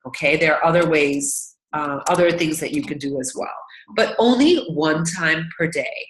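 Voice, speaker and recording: A woman's voice: on the low side, reverberant, a little hiss or hum, rough recording. A woman with a congested, stuffy nose coaching new team members on a training call, upbeat and encouraging, often checking in with "right?" and "okay?". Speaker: business coach.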